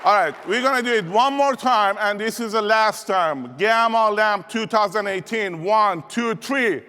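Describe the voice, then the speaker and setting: A man from Iran with a Persian accent, giving an energetic keynote speech and rallying the audience in a large conference hall. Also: high voice, measured pace, some chatter behind, a little hiss or hum. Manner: rallying, call-and-response with audience. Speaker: motivational speaker. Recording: reverberant hall.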